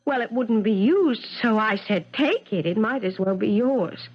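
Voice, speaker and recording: An actress playing an agitated woman professor in a 1970s radio mystery, halting, defensive and overwrought as she denies wrongdoing. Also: on the high side, lively intonation. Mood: earnest, resigned. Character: agitated woman professor of agriculture denying a murder. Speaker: actor in a radio mystery drama. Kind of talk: 1970s radio drama acting. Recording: dull lo-fi 1970s radio broadcast.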